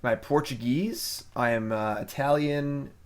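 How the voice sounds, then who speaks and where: A man's voice: medium pitch, a little slow, clear, resonant tone. A man streaming a card game live, casually thinking aloud about his plays and criticizing his own mistakes. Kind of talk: casual live gameplay commentary.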